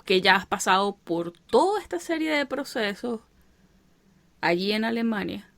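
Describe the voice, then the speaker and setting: A woman's voice: medium pitch, expressive, normal speed, gravelly, fairly bright tone, dry recording. A woman host, interviewing a guest in a supportive, conversational way. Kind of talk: casual interview chat.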